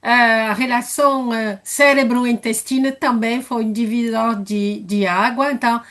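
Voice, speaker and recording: A woman's voice: on the high side, steady tone, normal speed, a bit bright. A French woman speaking with a French accent, a nutritionist asking a question in a live Q&A, searching for words as she goes. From France. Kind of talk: live online Q&A.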